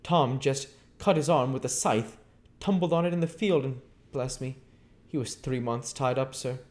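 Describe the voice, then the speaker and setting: A man's voice: mid-range voice, normal speed, a little mellow, voice pushed up, close to an everyday voice. A man voicing a chatty, deferential middle-aged country landlady who rambles in folksy phrasing for a storybook reading. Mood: earnest, rambling.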